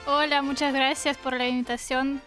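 A woman in her twenties from Russia with a Russian accent, speaking as a guest in a radio studio and pausing often to search for words. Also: high-pitched, level tone, bright, forceful tone, faint music behind. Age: 20s. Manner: hesitant, searching for words with many fillers. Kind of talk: interview answers. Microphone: studio microphone.

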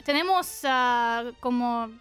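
High-voiced young Russian woman, hesitant. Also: takes it slowly, fairly bright tone, smooth, faint music behind. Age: 20s. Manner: hesitant, searching for words with many fillers. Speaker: guest. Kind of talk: interview answers. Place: radio studio. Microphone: studio microphone.

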